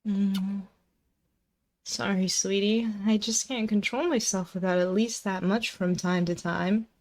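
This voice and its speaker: A woman's voice: mid-range voice, fairly even intonation, measured pace, a little mellow, close to an everyday voice. A woman playing a possessive, dominant and seductive female demon in an intimate, close-miked ASMR roleplay, commanding, teasing and doting. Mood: teasing, affectionate. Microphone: close binaural microphone.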